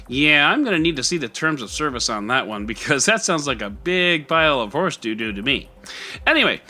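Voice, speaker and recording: A man's voice: a little high, sharp tone. A man hosting a tech news podcast, reading scripted items with jokey, mock-dramatic and sarcastic asides. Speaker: podcast host.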